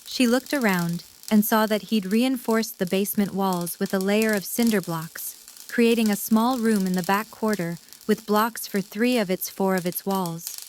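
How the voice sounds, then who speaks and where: A woman's voice: medium pitch, a little slow, a little hiss or hum, poor audio. A woman reading scripted third-person narration for an audio drama.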